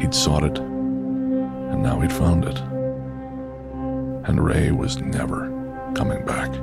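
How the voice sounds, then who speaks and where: A man narrating a scripted horror audio drama in a grave, deliberate, gravelly storytelling manner. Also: very deep voice. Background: music.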